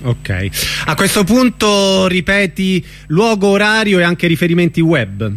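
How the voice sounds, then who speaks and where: A man hosting an informal radio interview, speaking haltingly and giving brief supportive replies. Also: sits high, big pitch swings, a bit bright. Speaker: radio host.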